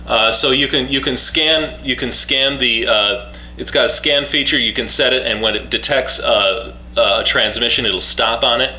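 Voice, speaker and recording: A man giving a casual, unscripted product demonstration in a halting way, repeating and restarting his phrases. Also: mid-range voice, sharp tone. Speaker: product reviewer demonstrating a two-way radio. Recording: roomy echo, faint background hum.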